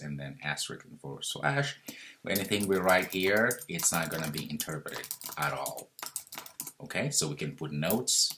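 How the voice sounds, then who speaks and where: A man's voice: sits low, sharp tone, slight background noise. A man in his thirties teaching programming over a screen recording, explaining step by step, thinking aloud and correcting himself as he goes. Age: 30s. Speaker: programming instructor. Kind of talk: screen-recorded coding tutorial.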